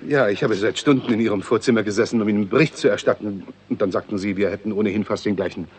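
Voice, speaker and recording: An actor playing an officious government agent in a radio play, formal, grudging and exasperated. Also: male voice, on the low side, racing, a little mellow, slight background noise, close to an everyday voice. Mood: flustered, insistent. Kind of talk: 1960s German radio play acting.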